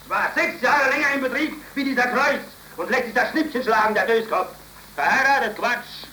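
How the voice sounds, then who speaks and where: A bullying working-class dad, high sharp scolding voice, old noisy 1930s radio play. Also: male voice, moderate pace, roomy sound. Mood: grumbling, indignant. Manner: harsh, scolding, commanding. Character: stern, bullying working-class father, quick to rage.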